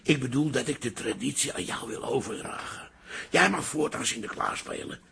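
Casting: an excitable older dad for radio comedy, animated, bright and forceful. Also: male voice, mid-range voice, moderate pace, creaky, slightly rough, heavily acted. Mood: coaxing. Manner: exclamatory, expressive. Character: excitable older father, a keen amateur Sinterklaas. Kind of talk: comic radio drama acting.

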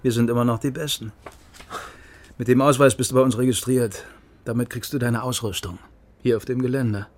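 An actor playing a self-assured, conspiratorial East German secret police lieutenant with clipped, wry delivery in a radio crime drama. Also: male voice, medium pitch, fairly even intonation, a little fast, natural voice.

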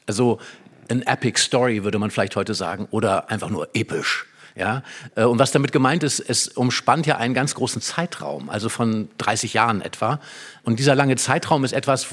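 A man speaking as an expert guest, analysing literature conversationally and explaining with examples and small tag questions. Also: medium pitch.

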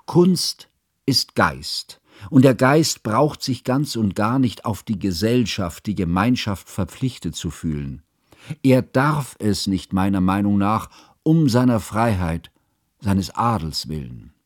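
A man's voice: lowish voice, lively intonation, takes it slowly. An actor playing a devoted, cultivated humanist who narrates a literary radio drama about his friend in a formal, reflective way. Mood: pained, earnest.

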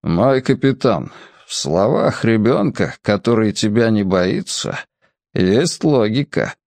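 A man voicing a heavy old man with a hoarse, strained voice who speaks slowly and calmly. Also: mid-range voice, no echo. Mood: dry calm. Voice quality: wheezy, strained. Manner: slow, unhurried.

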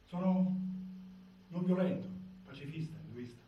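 A man's voice: on the high side, lively intonation, unhurried. An actor playing an earnest pacifist carpenter telling his story in a theatrical monologue, recorded muffled and far from the mic in an echoing space. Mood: earnest, plain. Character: earnest pacifist male carpenter, recounting his own arrest. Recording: echoey stage, distant mic, muffled, noisy.

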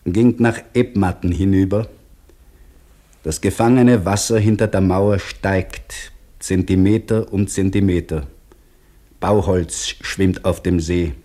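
An actor playing a weary dam labourer who gives terse, measured diary entries in a radio drama. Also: male voice, low voice, steady tone, mellow. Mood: sober, reflective. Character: sober, weary male dam labourer reading his diary.

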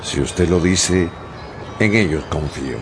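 An actor playing a courteous, reserved English gentleman traveller in a radio drama, speaking formally and gallantly. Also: sits low, slow pace. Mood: concerned, courteous. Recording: music behind the voice.

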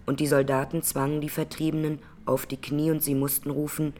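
An actress playing a grieving Armenian massacre survivor who testifies in a radio drama courtroom, plainly, solemnly and with measured words. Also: female voice, low voice, level tone, dark tone, a little hiss or hum, natural voice. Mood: grave, sorrowful.

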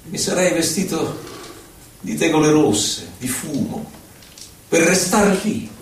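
Solemn haunted poet, cavernous echo. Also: male voice, a little high, lively intonation. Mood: anxious, withdrawn. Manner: solemn, deliberate, with dramatic pauses. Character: reflective male poet recalling his life, melancholy, haunted. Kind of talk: theatrical poetry recitation. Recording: echoey hall from far off, faint music. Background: faint music, violin.

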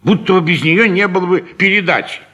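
Sharp, high-voiced grumbling husband. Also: male voice, brisk, lo-fi sound. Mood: peevish grumbling. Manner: grumbling, dismissive. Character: grumbling, hungry, impatient stay-at-home husband. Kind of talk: humorous radio play.

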